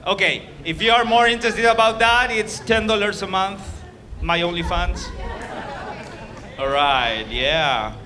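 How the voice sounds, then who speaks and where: A man's voice: sits high, a little slow. A man with an Argentinian accent doing a crude, rambling, self-mocking stand-up set in a club. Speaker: stand-up comedian. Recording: live club with loud crowd.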